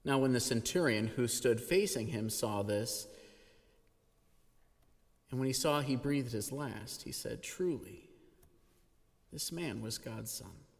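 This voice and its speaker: A man reads scripture at a church service in a mid-pitched voice. His delivery is dramatic and expressive, leaning into the weight of the text. The sound rings with long hall reverb. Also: takes it slowly, creaky, pauses often. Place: church sanctuary.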